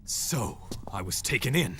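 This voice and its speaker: A man's voice: mid-range voice, big pitch swings, a little slow, noisy background. An actor playing a proud, intense young male warrior in a video game, with formal, dramatic delivery. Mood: indignant. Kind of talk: video game cutscene acting.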